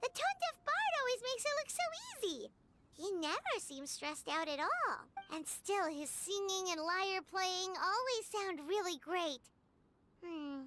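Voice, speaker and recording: A little floating fairy companion from an anime game. The voice is piercingly high, childlike and very animated, cheeky and exclamatory. Loud music plays behind it. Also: female voice, measured pace. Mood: puzzled. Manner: speaks of herself in the third person, exclamatory.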